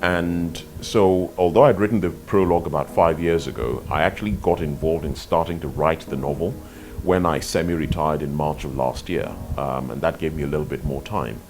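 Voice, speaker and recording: An older man from Nigeria, an author answering interview questions in a measured, articulate way, often repeating words as he thinks. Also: rumbling low, over music. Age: 50s or older. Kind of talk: reflective interview talk.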